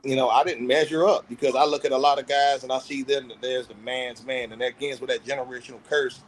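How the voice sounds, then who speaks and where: Thirties man, podcast panelist: earnest, self-reflective, medium pitch, candid casual talk. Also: fairly even intonation, quick pace, rough recording. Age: 30s. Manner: earnest, self-reflective, opens up about feelings.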